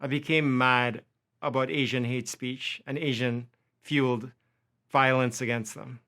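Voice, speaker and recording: A man's voice: medium pitch, steady tone, measured pace, bright, forceful tone. A man with a Trinidadian accent giving a prepared lecture, impassioned and building through long lists. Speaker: university faculty lecturer.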